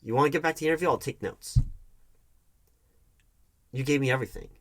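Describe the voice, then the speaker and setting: A man playing a defensive, wounded hiring manager arguing with his ex in a conversational audio roleplay, halting and often throwing questions back. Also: mid-range voice, a bit bright. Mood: defensive, exasperated. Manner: defensive, halting, repeats the other's accusations as questions. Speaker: roleplay performer. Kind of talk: one-sided audio roleplay, conversational acting.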